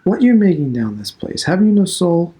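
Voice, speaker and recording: A man's voice: sits high, big pitch swings, moderate pace, mellow, voice pushed up. Man voicing a severe, reproachful old immigrant father. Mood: stern reproach. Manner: broken immigrant English, reproachful questions.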